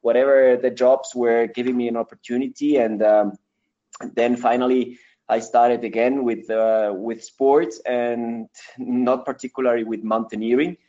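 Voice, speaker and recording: A man's voice: mid-range voice, steady tone, measured pace, warm tone, dull audio. A man in his thirties from Ecuador, answering interview questions in English as a with many fillers and restarts. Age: 30s. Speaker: mountaineer guest.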